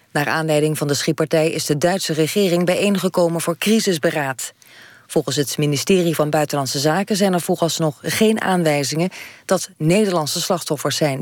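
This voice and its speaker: A woman newsreader with a Netherlands Dutch accent delivers a scripted bulletin. Her voice is on the low side for a woman, formal and factual, in a very dry studio sound. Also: dry recording. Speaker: radio newsreader.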